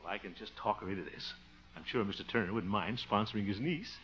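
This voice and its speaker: A man's voice: fairly low pitch, fast talker, lightly acted. An American actor playing a blustering, scheming middle-aged man in a 1950s radio sitcom, chuckling and muttering asides. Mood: sly muttering. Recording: muffled, noisy old 1950s radio recording.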